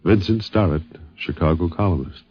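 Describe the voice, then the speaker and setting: A man's voice: deep voice, moderate pace, husky. A man narrating a scripted 1950s radio documentary and introducing its speakers. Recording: muffled, band-limited 1950s radio recording.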